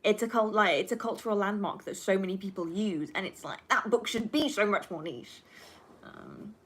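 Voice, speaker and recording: A woman's voice: medium pitch, animated, moderate pace, slightly rough. A woman in her twenties, a native Flemish Dutch speaker, chatting casually to livestream viewers in a rambling, candid, sweary and emphatic way. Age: 20s. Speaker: livestreamer and film student. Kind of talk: casual livestream chat answering viewer questions.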